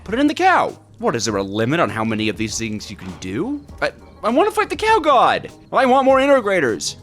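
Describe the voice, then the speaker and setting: A man hosting a gaming video, narrating from a script with wry, jokey asides and an expressive delivery. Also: on the high side, brisk, faint music behind.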